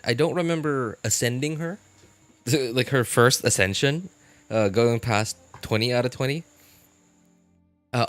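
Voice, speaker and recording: A man casually chatting as a live-stream host, answering viewers in a halting way and repeating his phrases. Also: mid-range voice, no room sound. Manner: halting, repeats phrases, animated incredulous reactions.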